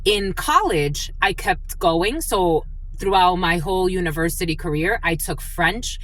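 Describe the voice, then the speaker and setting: A woman's voice: lowish voice, lively intonation, measured pace, fairly bright tone, poor audio. A woman whose first language is Spanish and whose family is from the Dominican Republic. She is a spiritual channel who opens with a prayer and then chats her way through a tarot reading with many fillers. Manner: chatty and rambling, with frequent fillers.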